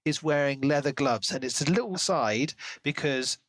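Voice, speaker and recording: A man co-hosting a casual book discussion, speculating in an expressive, wordy way. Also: on the high side, moderate pace, no echo. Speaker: podcast co-host discussing a novel. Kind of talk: casual book-club chat.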